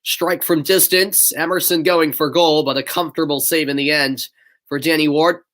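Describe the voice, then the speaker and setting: A man's voice: on the high side, normal speed. Stuffy-nosed man calling live play-by-play. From northeastern United States. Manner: steady play-by-play, casual chat with viewers. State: stuffy nose. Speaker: play-by-play commentator. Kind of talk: live amateur sports commentary.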